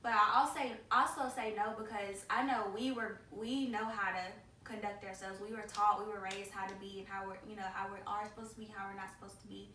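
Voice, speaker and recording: Young woman, vlog host, chatty, friendly, bright voice, echoey room, distant mic. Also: medium pitch, steady tone, a little fast, hardly any pauses, a little hiss or hum. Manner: friendly, chatty, leads the questions. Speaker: YouTuber host. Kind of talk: casual vlog chat. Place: indoor room with guests.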